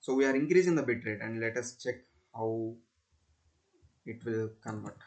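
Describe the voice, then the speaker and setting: A man narrating a step-by-step software demonstration in a halting, repetitive way. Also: medium pitch. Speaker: student presenter demonstrating software. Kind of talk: screen-recorded tutorial demonstration.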